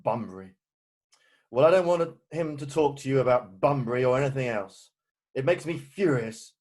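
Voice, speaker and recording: A man playing an exasperated, hesitant Victorian gentleman in an amateur play read-through. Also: mid-range voice, brisk, close to an everyday voice. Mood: indignant. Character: exasperated, indignant Victorian gentleman, guardian of a young woman.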